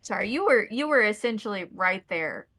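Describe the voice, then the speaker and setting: Woman running a tabletop rpg, mid pitch, really dry sound, narrates and checks in with players. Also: lively intonation, moderate pace, bright, forceful tone, no echo. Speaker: game master. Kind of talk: narrating a tabletop roleplaying game.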